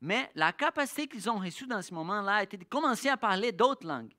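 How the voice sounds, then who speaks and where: Bright-voiced halting preacher. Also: mid-range voice, sing-song. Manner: halting, with frequent restarts and searching pauses; slows down to read scripture aloud. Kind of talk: sermon with Bible reading. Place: church.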